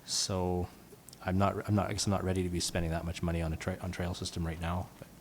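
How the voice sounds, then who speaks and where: A man's voice: low-pitched, little pitch movement, quick pace. A man speaking as a councillor in a meeting debate, hesitant and often restarting his phrases. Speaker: municipal councillor. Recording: reverberant meeting room, faint noise.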